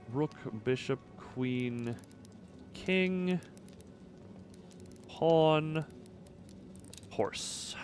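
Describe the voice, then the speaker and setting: A man giving casual live game commentary, thinking aloud with playful, quirky turns of phrase. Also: fairly high pitch. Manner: playful, quirky word choices. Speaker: video game streamer.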